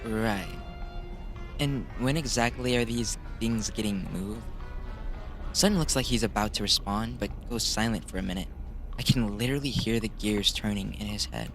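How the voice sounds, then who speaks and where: A man's voice: on the low side, moderate pace, fairly bright tone, faint music behind. A man narrating a visual novel in a let's play, casually commenting and sometimes stumbling over the text. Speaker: gamer reading a visual novel aloud.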